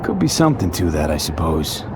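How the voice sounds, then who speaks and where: A male street mercenary in a futuristic game. His voice sits low and he talks casually, with clipped, dry understatement. There is faint outdoor vehicle noise behind him. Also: sing-song, fairly quick. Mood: casual, wry. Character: laid-back, dry-witted male street mercenary in sci-fi city. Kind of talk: video game cutscene dialogue, understated.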